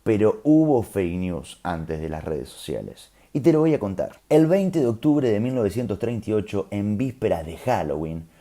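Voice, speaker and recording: A man's voice: fairly low pitch, very animated, normal speed, few pauses, dark tone. A man with a Rioplatense Argentine accent tells a scripted story in a conversational, explanatory way, with colloquial asides. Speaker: storyteller host.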